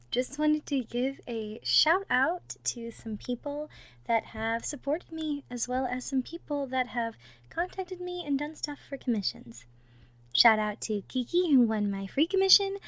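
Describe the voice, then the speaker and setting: A woman's voice: sits high, fairly bright tone, dry recording. A woman who makes roleplay audio, giving an informal thank-you announcement to her listeners.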